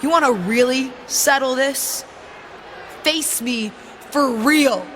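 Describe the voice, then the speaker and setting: A woman's voice: high voice, takes it slowly, fairly bright tone, slight background noise. A woman playing a defiant young wrestler in a video game, delivering an indignant, sarcastic and emphatic promo. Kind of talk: shouted wrestling promo acting.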